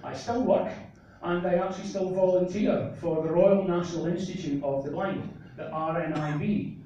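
A middle-aged man from northeast Scotland with a northeast Scottish accent, doing a deadpan, self-mocking stand-up comedy set in a small club. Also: high voice, moderate pace, muffled recording, slight background noise. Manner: deadpan, self-mocking, gestures a lot while talking. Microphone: handheld mic, filmed from far back in the crowd. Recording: echoey club from far off, crowd laughter.

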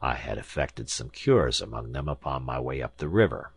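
A man's voice: rumbling low, lively intonation, moderate pace, creaky, breathy edge, voice pushed down, heavily acted. A man voicing an arrogant, imperious professor in an audiobook, pompous and lecturing as he talks down to his listener. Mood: self-important recounting. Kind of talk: audiobook character acting.

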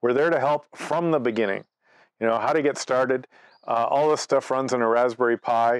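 Male presenter, 60s. Conversational explainer, medium pitch, dry close sound. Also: moderate pace, no echo, low-quality recording. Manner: conversational, explains through step-by-step examples and anecdotes. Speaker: presenter, amateur radio operator. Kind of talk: informal instructional presentation. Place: outdoors in front of leafy trees.

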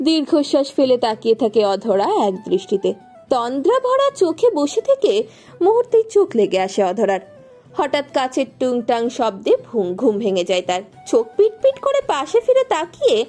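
A woman's voice: high voice, huge pitch swings, normal speed, warm tone, faint music behind. A woman reading a scripted romantic story as its narrator.

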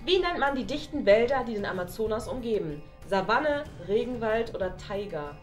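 A woman's voice: on the high side, sing-song, moderate pace, reverberant. A young woman playing a chatty camp contestant who reads out quiz questions in a game show. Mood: brisk. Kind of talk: scripted game show acting. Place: small decorated studio room indoors. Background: music.

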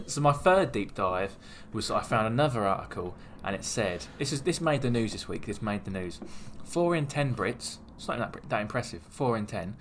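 A man's voice: on the low side, animated, moderate pace, slight background noise. A British man hosting a podcast, chatting casually and bantering with friends. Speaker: podcast host.

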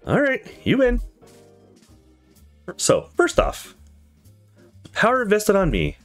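Man as tipsy young woman for a visual novel, bold, playful, raised swooping pitch. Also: wildly swooping pitch, takes it slowly, soft background music, heavily acted. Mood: drunken playful. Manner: tipsy, mock-ceremonial, bold. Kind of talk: visual novel dialogue read aloud.

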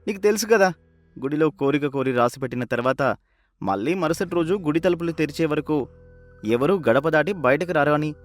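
A man's voice: on the high side, animated, fairly quick, soft background music, close to an everyday voice. A man voicing the fearful son of a village chief in a moral story, anxious and urgent. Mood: frightened. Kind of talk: animated moral story character acting.

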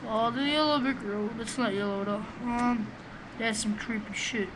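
A man with a small, high voice giving rambling, profane, excitable commentary while playing a game, recorded on a webcam microphone. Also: normal speed. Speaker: gamer doing a let's play. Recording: noisy home recording.

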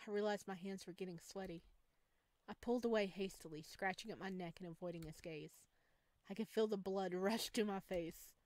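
A woman's voice: mid-range voice, moderate pace, darkish tone, no echo. Woman narrating a visual novel, dry sound. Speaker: gaming video host. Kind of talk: expressive read-aloud narration of a visual novel.

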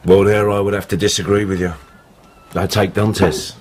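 An actor playing a talkative, shabby innkeeper in a radio drama, gossipy and ingratiating. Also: male voice, low voice, little pitch movement, normal speed. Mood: mournful gossiping.

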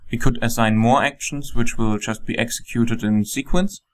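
A man with a German accent, a software developer, calmly walking through a program on a screen recording with frequent ums. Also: mid-range voice, moderate pace. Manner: calm, plain explaining with frequent ums.

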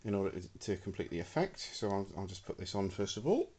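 A man's voice: sits low, big pitch swings, quick pace, darkish tone, slightly rough. A man giving a casual, hesitant demonstration of homemade electronics, filmed on a handheld camera indoors. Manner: hesitant, many ums, talking while handling gear. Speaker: hobbyist prop maker. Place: indoor room with a wooden floor. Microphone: handheld camera mic.

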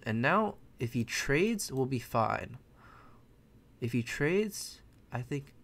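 A man's voice: medium pitch, fairly bright tone. Young man thinking aloud over chess. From America. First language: Mandarin. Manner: thinks aloud, chatty, self-correcting. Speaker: chess streamer. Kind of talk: casual live commentary while playing. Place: bedroom at home, indoors.

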